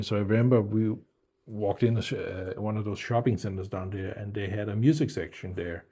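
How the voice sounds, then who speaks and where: A man's voice: fairly low pitch, darkish tone, breathy edge. A middle-aged man from Denmark, whose first language was German, chatting casually about his old albums, rambling with lots of fillers and restarts. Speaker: music video host.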